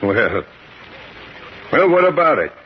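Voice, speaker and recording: An actor playing a hard, vengeful gangster with a tough, menacing, slangy delivery in a 1950s radio drama. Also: male voice, medium pitch, sing-song, slow pace. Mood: impatient, bossy. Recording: dull, faintly noisy old radio recording.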